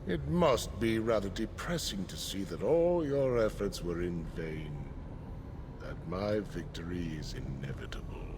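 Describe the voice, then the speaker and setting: A man playing an arrogant, menacing high priest in a fantasy game, taunting in a pompous, theatrical way. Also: lively intonation, measured pace, background noise. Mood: gloating menace.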